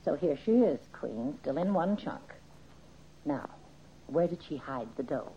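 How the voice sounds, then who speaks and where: A woman's voice: sits low, expressive, unhurried, mellow, breathy edge, exaggerated voice. An actress playing a tough, suspicious woman gangster who talks in blunt slang in an old-time radio crime drama. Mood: hard, demanding. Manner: blunt, slangy, hard-boiled. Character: tough, suspicious female gangster in a robbery crew. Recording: muffled old radio recording.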